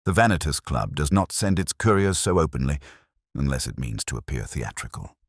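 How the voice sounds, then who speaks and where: Grave detective read by a male narrator: bottomless low voice, precise phrasing, dramatic rises and falls. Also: very animated, a little fast, breathy edge, no echo, studio quality, heavily acted. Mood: grave, deliberate. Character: grave, incisive male detective, theatrically deliberate.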